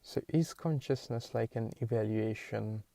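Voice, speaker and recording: A young man with a Hungarian accent, a podcast host thinking aloud in a halting, searching way with many restarts. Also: mid-range voice, vocal fry, warm tone, no room sound. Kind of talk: solo musing monologue.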